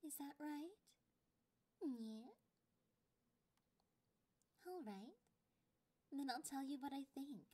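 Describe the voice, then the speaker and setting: A woman voicing a clingy, possessive catgirl girlfriend in an ASMR roleplay, with a playful, condescending and drawn-out delivery. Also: sits high, animated, dark tone. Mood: commanding, smug.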